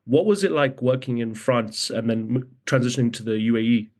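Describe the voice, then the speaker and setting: A man hosts a business podcast in a mid-pitched voice. He reads a scripted welcome, then chats with loose fillers as he asks questions. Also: a little fast, a little mellow. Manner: friendly, loose with fillers like "like" and "you know". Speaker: podcast host. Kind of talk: podcast interview hosting.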